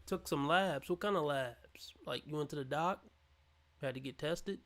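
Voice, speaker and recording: Gravelly mocking male streamer. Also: on the high side, moderate pace, fairly bright tone. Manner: mocking, scornful ranting. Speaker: livestream commentator. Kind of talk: reaction commentary.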